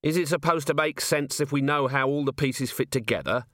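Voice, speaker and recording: A man reading an audiobook, voicing a weary, gruff middle-aged male watch commander with a dry, exasperated manner. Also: mid-range voice, a little fast, a bit bright, dry recording, voice pushed up, natural voice.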